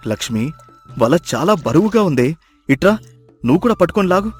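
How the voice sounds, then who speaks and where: A man's voice: fairly high pitch, sing-song, brisk, breathy edge, soft background music. A man playing a poor, devoted fisherman husband in a moral story, speaking earnestly and with dramatic emphasis. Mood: straining, urgent. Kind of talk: acted moral-story dialogue.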